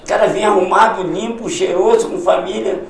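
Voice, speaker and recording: A man, a missionary guest, speaking in casual conversation, halting and emotional, often restarting his sentences. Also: high voice, steady tone, distant mic, slight background noise. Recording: echoey room, somewhat off-mic.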